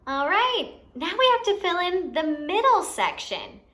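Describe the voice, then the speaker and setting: A woman's voice: extremely high pitch, very animated, a little slow, pushed and bright. A woman hosting a lesson for young children, explaining things simply and expressively and putting questions to the listener. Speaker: children's teacher and show host.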